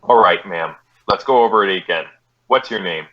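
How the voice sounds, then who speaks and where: A man playing a low-voiced, stoic police detective. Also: normal speed, muffled recording. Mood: stoic, firm. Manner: deadpan, clipped, matter-of-fact. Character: stoic, polite, by-the-book male police detective. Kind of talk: radio police drama acting with narration.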